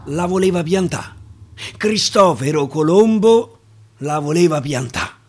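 Un uomo con accento romano che racconta versi in dialetto in modo teatrale, passando dal sommesso al declamato. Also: male voice, on the high side, lively intonation, unhurried, breathy edge. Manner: dramatic, swinging between hushed and declaimed. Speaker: actor, storyteller. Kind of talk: theatrical dialect verse storytelling. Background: music.

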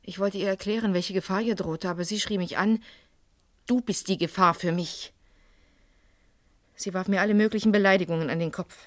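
A woman's voice: medium pitch, fairly even intonation, a little fast, pauses often, no echo, lightly acted. Woman playing a young student, haughty and defensive, old radio crime play, dry close sound. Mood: grave, confessing. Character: defiant, evasive young female student under police questioning. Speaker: radio drama actor. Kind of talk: vintage German radio crime drama acting.

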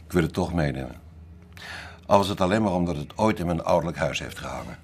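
An actor playing an elderly man in a radio drama, speaking haltingly and full of feeling, with a husky voice. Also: low voice, animated, darkish tone, heavily acted. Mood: resigned. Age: older. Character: elderly man seeking his father's stolen painting, emotional.

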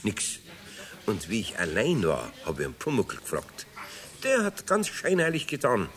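An actor with a Bavarian accent playing a good-natured older master carpenter in a 1970s radio play, earnest and homely. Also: male voice, lowish voice, wildly swooping pitch, moderate pace, a bit bright, heavily acted. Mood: earnest storytelling.

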